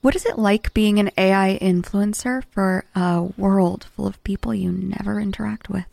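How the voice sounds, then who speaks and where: A blunt, searching woman biographer, with a creaky edge to her mid-pitched voice. She is troubled and probing in a naturalistic audio drama, with music behind her. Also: fairly even intonation, moderate pace, a little mellow, dry recording. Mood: confrontational. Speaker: character in a sci-fi audio drama.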